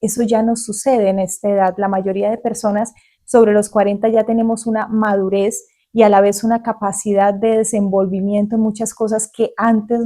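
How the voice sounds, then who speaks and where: A warm, mellow-voiced woman in her forties from Colombia talks earnestly to her viewer. She speaks at a steady, unhurried pace in a reflective monologue. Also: mid-range voice. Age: 40s. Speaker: vlogger. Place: outdoors in the countryside facing mountains.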